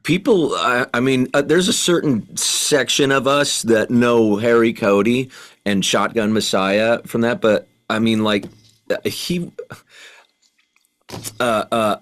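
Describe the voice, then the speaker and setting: A man hosting a rock radio interview, enthusiastic and halting, full of fillers. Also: medium pitch, fairly even intonation, fairly bright tone. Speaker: radio show host. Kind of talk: casual interview chat.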